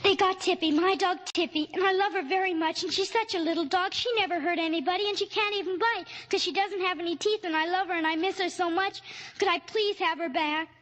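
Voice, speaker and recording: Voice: very high voice, fairly even intonation, brisk, fairly bright tone, long reverb tail. A woman playing an earnest, polite little girl, pleading in simple childlike speech in an old-time radio drama. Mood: pleading, tearful. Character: little girl, earnest, pleading, tearful over her lost dog. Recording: old radio recording with faint hiss.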